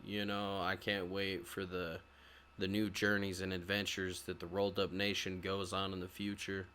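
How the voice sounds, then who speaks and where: A man hosting a gaming channel, giving casual, halting game commentary to his viewers with some loose swearing. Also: sits low, monotone, bright, forceful tone, slight background noise. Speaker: host of a gaming walkthrough channel.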